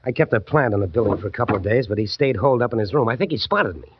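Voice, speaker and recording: An American actor playing a businesslike but worried private detective in an old-time radio drama, with a slangy, matter-of-fact delivery. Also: male voice, fairly low pitch, lively intonation, rapid-fire, background noise, close to an everyday voice. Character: businesslike, worried male private detective, married, hard-bitten. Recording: dull vintage radio recording.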